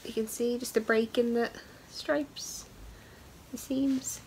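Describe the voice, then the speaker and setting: A woman's voice: a little high, steady tone, slow, darkish tone, slight background noise. A young woman hosts a knitting podcast in a casual, chatty way, full of ums and upbeat asides, and she has a head cold. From Ireland. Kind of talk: casual show-and-tell chat.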